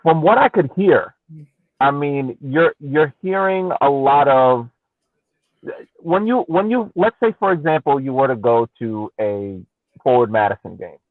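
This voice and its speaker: Man, podcast co-host, casual sports analysis, deliberate point-by-point delivery, phone-like narrow sound, dry. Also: medium pitch, no echo. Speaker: podcast co-host and soccer fan.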